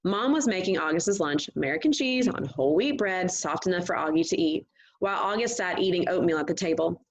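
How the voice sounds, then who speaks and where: Low-pitched woman reading a story aloud. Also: expressive, fairly quick, rough recording. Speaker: read-aloud reader. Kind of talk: expressive storybook narration.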